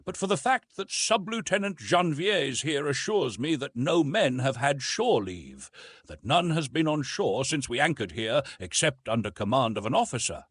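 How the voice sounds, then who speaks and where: Man acting an intense French naval lieutenant, swinging pitch. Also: sing-song, moderate pace, husky, no room sound. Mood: formal, reassuring. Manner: intense, formal, dramatic. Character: French naval lieutenant, earnest, haunted, gallant officer. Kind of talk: dramatised audiobook reading.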